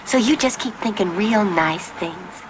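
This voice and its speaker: A woman playing a frightened townswoman forcing sweetness in a 1960s television drama, halting and coaxing with strained pleasantness. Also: mid-range voice, expressive, lightly acted. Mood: forced cheer. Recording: noisy old TV soundtrack.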